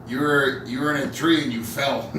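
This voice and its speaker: A man's voice: mid-range voice, steady tone, normal speed, a bit bright. A man playing a tabletop roleplaying game, joking, laughing and swearing freely. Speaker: player. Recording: echoey room, mic far off, clear noise.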